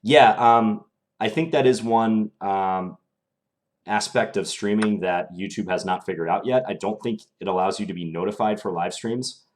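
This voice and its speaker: A young man streaming live, commentating his chess games and answering viewer questions in a relaxed, thinking-aloud way, his voice slightly strained. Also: lowish voice, normal speed.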